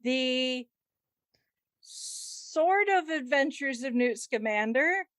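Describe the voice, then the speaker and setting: A woman co-hosting a podcast in casual panel chat, animated and opinionated. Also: sits high, drawn-out words, no echo, studio quality. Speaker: podcast co-host.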